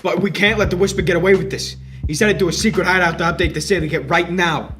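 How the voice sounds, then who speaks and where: A man's voice: sits high, steady tone, fast, fairly bright tone, a little hiss or hum. A young man playing a plucky, battered hero in a radio mystery drama. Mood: urgent, defiant. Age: young adult.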